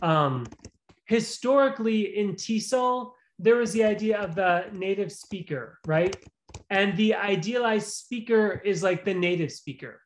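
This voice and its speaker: A man's voice: sits high, unhurried. Earnest man lecturing over a video call. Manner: earnest, restarts phrases, frequent "right?" tags. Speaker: visiting professor, guest lecturer. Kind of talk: lecture and Q&A answer in an online seminar.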